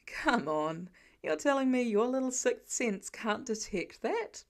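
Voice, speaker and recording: A woman's voice: fairly high pitch, expressive, measured pace. A woman voicing a playful, dryly teasing adult man, a grumbling dad, in a fiction audiobook. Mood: mock grumbling. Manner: playful, dry, wheedling.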